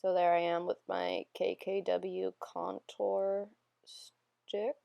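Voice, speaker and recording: A young woman chatting through a makeup tutorial voiceover, a bit hoarse as she gets over an illness. Her voice sits on the low side with a gravelly fry. She rambles and pokes fun at herself in a dry, close recording. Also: level tone, slow, dark tone, no echo. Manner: chatty, self-deprecating, rambling with fillers. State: slightly hoarse, recovering from illness. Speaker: beauty vlogger.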